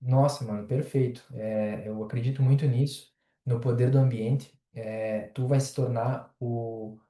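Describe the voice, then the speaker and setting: A man chatting as a podcast guest, talking in a relaxed way with many pauses and filler sounds. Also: medium pitch, reverberant. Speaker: podcast guest, affiliate marketer. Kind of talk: casual podcast chat.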